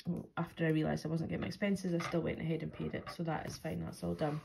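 A woman's voice: deep voice, little pitch movement, fast talker, creaky, background noise. A Scottish woman rambling casually to her channel about her weekly budget, sounding chesty as she comes down with a cold, filming at a table indoors. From Scotland. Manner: rambling, friendly, many ums. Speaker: budgeting vlogger. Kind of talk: casual chatty to-camera talk.

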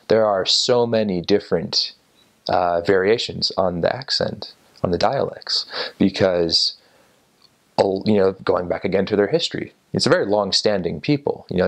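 A man in his twenties, seated indoors and talking to an off-camera interviewer in a relaxed, thoughtful way, with many fillers and restarts. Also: deep voice, vocal fry. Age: 20s. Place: indoor room by a window, seated in an armchair.

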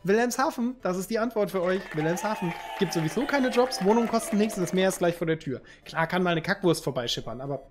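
A man's voice: high-pitched, lively intonation, fast, few pauses, faint music behind. A man, chatting casually and jokingly with his stream viewers through a headset in a room at home. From Northern Germany. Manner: loose and joking, reads chat aloud, slangy.

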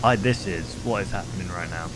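A man's voice: low-pitched, brisk, very noisy. A man in his twenties hosting a reaction video, chatting casually and rambling in a conversational way, close on a desk microphone. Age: 20s. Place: small home room, indoors. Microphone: close desk microphone with headphones.

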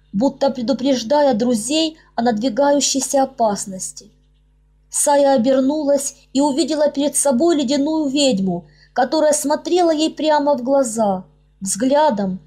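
A woman's voice: high voice, mellow, husky. A woman reading her own fairy-tale audiobook as a scripted narration. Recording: music under the voice. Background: background music.

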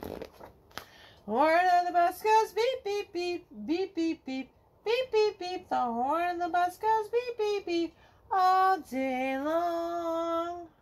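A middle-aged woman reading a children's picture book aloud to kids at home, slowly singing the verses in a sing-song way. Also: very high-pitched, animated. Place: home living room, indoors.

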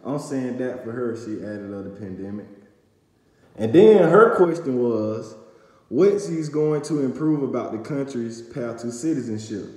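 A man's voice: mid-range voice, warm tone. A young man recapping news to camera, reading aloud with stumbles and fillers, in a small room at home on a phone camera. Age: young adult. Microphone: phone camera at arm's length. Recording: echoey room with long reverb.

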